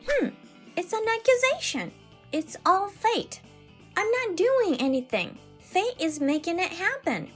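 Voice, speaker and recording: A woman's voice: extremely high pitch, very animated, measured pace, soft background music, voice pushed up, heavily acted. A woman voicing a smug, taunting, fate-obsessed young female villain in a dramatized manga story. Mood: defiant. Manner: taunting, mock-innocent, theatrical.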